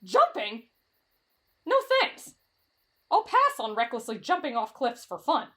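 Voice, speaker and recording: A woman's voice: fairly high pitch, huge pitch swings, a little slow, fairly bright tone, full character voice. A woman voicing a timid, lovestruck teenage boy in an anime-style roleplay drama, with a dramatic, whiny delivery that swings between fretful and gushing. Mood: anxious, fretful. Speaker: voice actor in roleplay audio.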